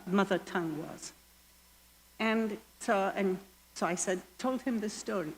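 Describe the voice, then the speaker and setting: An older Welsh woman speaking to a congregation in a storytelling manner, slowing down to lean on key words. Her voice carries a long church reverb with a faint hiss underneath. Her pitch sits in the middle range for a woman. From Wales. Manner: storytelling, unhurried with slow emphatic phrases. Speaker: guest worship leader. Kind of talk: reflective sermon talk. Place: church sanctuary.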